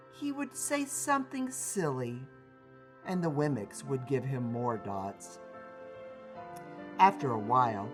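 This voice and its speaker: Slow, expressive male storybook reader. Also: on the high side. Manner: deliberate, emphatic storytelling with dramatic pauses. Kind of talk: expressive scripted children's story reading. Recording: music behind the voice. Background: music.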